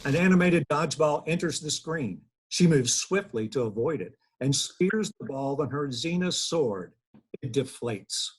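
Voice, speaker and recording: A man with a husky voice reads scripted stage directions aloud as narrator of a table read on a video call. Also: on the high side, expressive, a little slow, poor audio. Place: indoors on a group video call.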